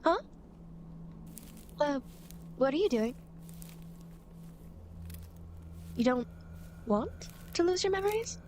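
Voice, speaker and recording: A woman's voice: sits high, sing-song, unhurried, a little mellow, slight background noise. A woman playing a playful, teasing demon girl who guides dead souls, in a coy, dramatic ASMR roleplay. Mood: puzzled, explaining. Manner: coy, mischievous, mock-sympathetic, dramatic. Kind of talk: ASMR roleplay, acted monologue to the listener.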